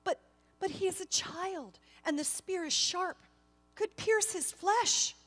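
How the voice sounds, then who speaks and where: A woman's voice: extremely high pitch, slow pace, a bit bright, big-room sound, soft background music, pitched above the performer's own voice, exaggerated voice. A woman playing a proud, commanding male warrior king in a storybook, emphatic and defensive, stammering when challenged. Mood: anxious, pleading.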